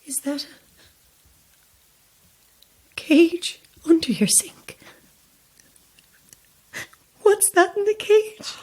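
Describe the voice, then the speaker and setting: An actress playing a frantic, obsessed mother in a horror audio drama, speaking in a halting, distraught, pleading way. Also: extremely high pitch, expressive, heavily acted. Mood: accusing. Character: frantic, obsessed mother hunting a child-snatching witch.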